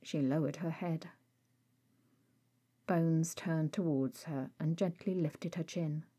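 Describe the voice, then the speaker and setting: A woman's voice: sits low, takes it slowly, dark tone, breathy edge. A woman reading scripted fiction as a story narrator.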